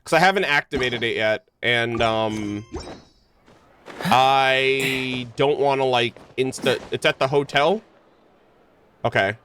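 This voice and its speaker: A man's voice: medium pitch, slow, pauses often, fairly bright tone, a little hiss or hum. A man live streaming a game, chatting informally and jokingly with his viewers in slangy language. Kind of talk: casual game streaming chat.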